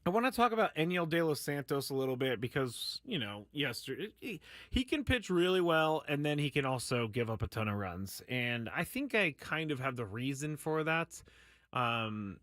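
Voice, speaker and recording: A man's voice: fairly high pitch, big pitch swings, normal speed, a bit bright, no echo, clean studio sound. A man hosting a sports talk radio show, speaking in a casual, candid, self-deprecating monologue. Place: radio studio.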